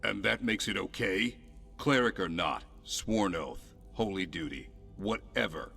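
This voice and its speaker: Man acting a very deep-voiced, exasperated guard officer. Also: measured pace, creaky, bright, forceful tone, husky, faint music behind, exaggerated voice. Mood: weary, exasperated. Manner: weary, stern, sardonic. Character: gruff, exasperated male city guard officer. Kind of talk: video game character dialogue.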